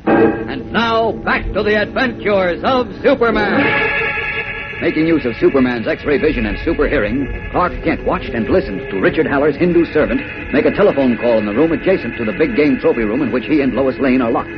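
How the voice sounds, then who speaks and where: A man's voice: a little high, expressive, a little fast. An American man narrating a radio serial in dramatic 1940s style. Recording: dull old radio broadcast. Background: dramatic music.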